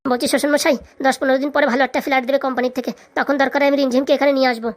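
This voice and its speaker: A woman plays a young husband in a cartoon comedy. He speaks very quickly in a high voice. He is evasive and placating, forever making excuses. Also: flat delivery, close to an everyday voice. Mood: anxious, placating. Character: evasive working son-in-law, stingy young husband. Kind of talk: comic cartoon dialogue.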